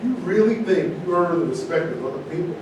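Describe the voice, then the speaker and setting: A man's voice: animated, fairly quick. A man acting an opinionated, provocative character in a stage play reading, arguing in a declamatory way. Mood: combative. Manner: argumentative, declamatory. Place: echoey room at a live staged reading. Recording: distant mic, very echoey room, noisy rumble.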